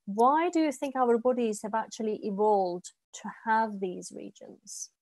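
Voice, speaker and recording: A woman's voice: fairly high pitch, a little slow, darkish tone, dry recording. A woman in her thirties hosting a video call from her home, asking long questions with many fillers. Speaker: interview host. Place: home room with light walls and plants.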